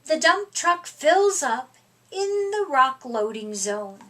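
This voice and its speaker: A middle-aged woman reading a picture book aloud to young children in an expressive, deliberate, emphatic way. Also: sits high, a little hiss or hum. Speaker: storytime reader. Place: small furnished room indoors.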